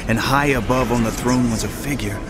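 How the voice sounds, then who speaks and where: A man playing an awestruck prophet in a dramatized scripture reading, recounting visions in a solemn, measured, reverent way. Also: medium pitch, very noisy, natural voice. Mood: reverent wonder. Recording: loud music and effects behind voice.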